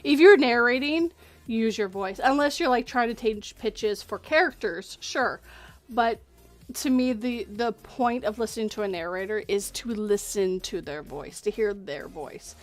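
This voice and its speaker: High-voiced woman, candid and opinionated. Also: a little slow, soft background music. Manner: candid, opinionated, lots of restarts. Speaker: horror story narrator. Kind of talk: casual livestream chat.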